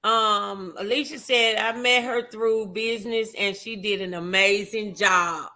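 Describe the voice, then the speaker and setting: A woman hosting a casual talk show, chatting with guest and viewers in an animated, encouraging, folksy way. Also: mid-range voice, a little slow. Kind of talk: casual chat, audience engagement.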